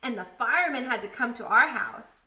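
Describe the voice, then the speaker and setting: A woman voicing an excitable, nosy, stubborn kindergarten girl from a children's storybook, blurting things out emphatically. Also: a little high, brisk, voice pushed up. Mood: excited, boastful. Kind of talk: children's storybook read-aloud. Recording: echoey room.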